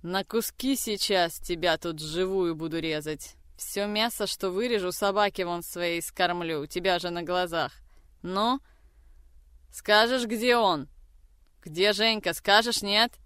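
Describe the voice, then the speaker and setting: A woman's voice: fairly low pitch, a little slow, a bit bright, clear, resonant tone, no echo, studio quality. A woman voicing a cruel older woman who tortures a captive, with vicious threats and shrieking anger. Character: cruel brutal older woman gang mother. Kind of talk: audiobook reading.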